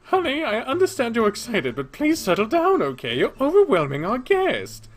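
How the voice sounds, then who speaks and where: Guy doing a sugary story mom, way high pitched, fussy and bossy, very sing-song. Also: huge pitch swings, normal speed, exaggerated voice. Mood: gently scolding. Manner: honeyed, fussing. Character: sweet, doting, firmly bossy middle-aged mother.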